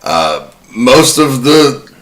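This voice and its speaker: A man with a bright, forward voice at a middling pitch hosts a casual film podcast. He talks with informal, sweary enthusiasm as he shares trivia with co-hosts. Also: sing-song, drawn-out words. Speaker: podcast host.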